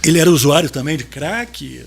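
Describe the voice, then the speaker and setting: A man questioning a witness at a jury trial in a formal, methodical way, with short quiet acknowledgments between questions. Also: on the high side, fairly quick, creaky, slightly rough. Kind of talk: courtroom questioning.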